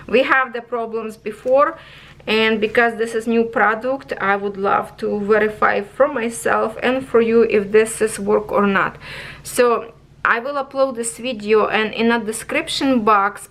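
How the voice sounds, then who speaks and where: Slow-talking woman reviewing craft kits. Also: medium pitch, fairly bright tone, poor audio. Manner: chatty, keeps checking in with viewers; non-native English phrasing. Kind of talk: casual product review.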